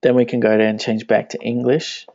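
A man with a gravelly edge to his mid-pitched voice talks casually through a software tutorial, narrating each click as he goes. He speaks English, though his first language is Danish. The recording is dry and dull, with mouse clicks behind him. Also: little pitch movement, fairly quick, no room sound. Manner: casual, thinking aloud while clicking. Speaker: web tutorial instructor. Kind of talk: screencast tutorial walkthrough.

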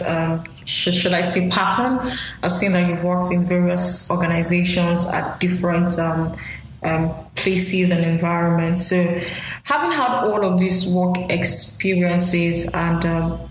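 A woman co-hosting a podcast, asking interview questions in a hesitant way with many fillers. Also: lowish voice. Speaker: podcast co-host. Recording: very echoey room with faint noise.

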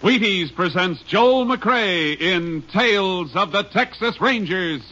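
A man's voice: high voice, animated, slow pace, very noisy. A man announcing a scripted 1950s radio show in a formal, declamatory style. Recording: dull old radio recording. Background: loud music.

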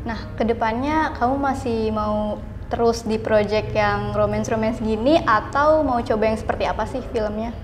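Young female interviewer, higher voice, informal questions, reverberant room, faint noise. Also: moderate pace. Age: young adult.

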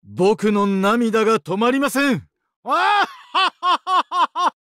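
An actor playing a sadistic, weeping angel of doomsday in a mobile game, exaggeratedly polite and dramatic, swinging between sorrow and glee. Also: male voice, extremely high pitch, sing-song, slow, sharp tone, no echo, clean studio sound, exaggerated voice. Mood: manic glee. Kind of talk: Japanese mobile game character acting.

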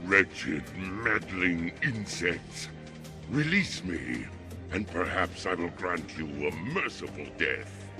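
A man's voice: low voice, expressive, slow pace, over music, full character voice. A man playing a huge, scornful demon lord in a video game, speaking with imperious contempt. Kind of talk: video game character acting.

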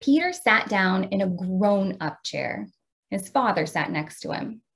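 A middle-aged woman with an American accent, explaining and reading aloud as a teacher of young children in an expressive way. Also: mid-range voice, a little slow. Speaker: teacher demonstrating a read-aloud.

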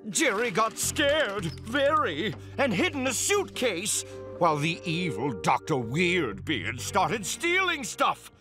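A man playing an excitable, silly storybook narrator for a children's cartoon, with a playful, exaggerated, mock-dramatic delivery. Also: high-pitched, slow, over music, heavily acted.

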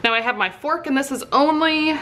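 A woman's voice: sits high, fairly quick, a bit bright, slight background noise. A woman chatting casually through a craft tutorial, rambling and thinking aloud as she works. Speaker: soap maker hosting her own craft video.

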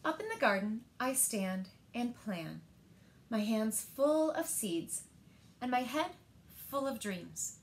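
Slow-paced, higher-voiced woman reading a storybook. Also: sing-song. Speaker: library storyteller. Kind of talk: reading a picture book aloud to children. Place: indoors, small living room by windows.

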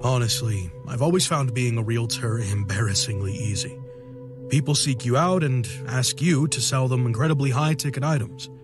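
A man's voice: medium pitch, close to an everyday voice. An actor playing a breezy, self-satisfied male realtor, telling his story conversationally in a first-person horror audio drama. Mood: breezy, confiding. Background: music.

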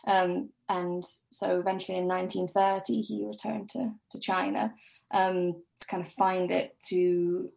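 A woman's voice: lowish voice, level tone, unhurried, creaky, a little mellow, rough recording. A woman speaking as a podcast guest, explaining things informally and tentatively, piecing facts together aloud.

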